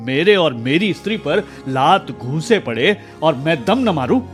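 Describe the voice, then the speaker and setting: An actor in a radio drama playing an educated, principled young husband, earnest and emphatic. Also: male voice, on the high side, very animated, measured pace, soft background music. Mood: firm indignation. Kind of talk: Hindi radio drama acting.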